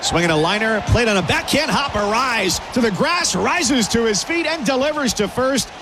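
A man calling baseball play-by-play on the radio, brisk and rising with the action. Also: extremely high pitch, animated, noisy background. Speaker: play-by-play announcer.

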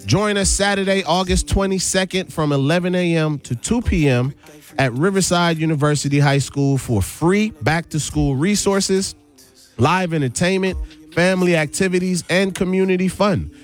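A man's voice: high-pitched, normal speed. A man hosting a radio show in a hyped, slangy style, repeating catchphrases as he banters and makes announcements. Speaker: radio host. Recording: music bed under the voice. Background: music.

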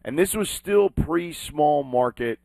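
A man hosting a sports talk show, talking with emphatic, animated delivery. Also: a little high, slow, vocal fry, dry recording. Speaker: sports talk radio host. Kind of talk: sports talk and live read ad.

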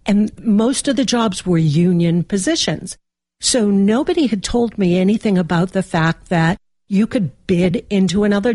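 A woman's voice: mid-range voice, darkish tone, no room sound, studio quality. A woman, telling the story of her working life as an interview guest. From Massachusetts.

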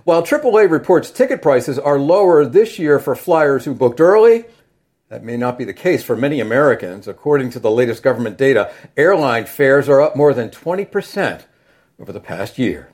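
A man, a news bureau chief, delivering a measured political news minute. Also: medium pitch, sing-song, darkish tone, breathy edge. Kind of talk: news report.

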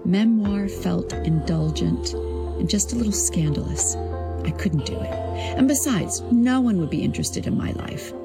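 A woman writer narrating her own memoir in an expressive, deliberate, literary way, acting out the dialogue. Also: medium pitch, over loud music. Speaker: creative writing teacher. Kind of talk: prepared personal storytelling, narrated memoir.

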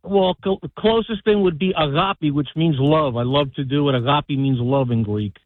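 A male caller speaks over a thin, tinny phone line. He talks in a halting way, often stopping to restart. The sound is dry and squeezed. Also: a little high, dry recording. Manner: halting, repeats words while explaining. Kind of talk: phone-in conversation.

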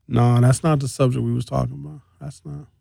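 A male talk radio host with a mid-pitched voice and a dry, close sound. He is blunt and emphatic, moving between conversational commentary and reading out articles. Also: level tone, a little fast, vocal fry, mellow, no echo.

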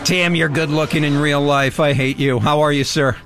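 A man's voice: a little high, steady tone, normal speed, fairly bright tone, faint music behind. A man hosting a radio show, upbeat and joking as he asks direct questions. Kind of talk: radio interview.

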